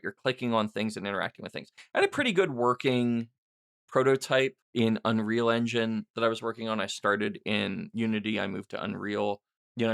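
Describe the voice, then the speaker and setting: A man's voice: on the low side, creaky, a bit bright. A middle-aged man hosting a casual, unscripted question-and-answer podcast, rambling and self-deprecating as he thinks aloud. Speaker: podcast host and writer answering listener questions.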